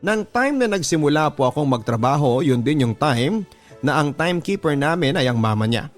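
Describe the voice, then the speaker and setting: A man's voice: a little high, very animated, moderate pace, faint music behind. A man with a Filipino accent narrating a scripted first-person love story in the style of a radio drama.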